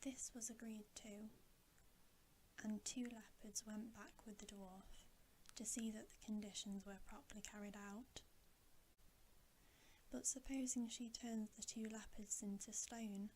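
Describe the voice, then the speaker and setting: A woman whispering a bedtime story in a hushed, breathy, gentle and unhurried ASMR style. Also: mid-range voice, fairly even intonation, a little hiss or hum, low-quality recording. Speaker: ASMR reader.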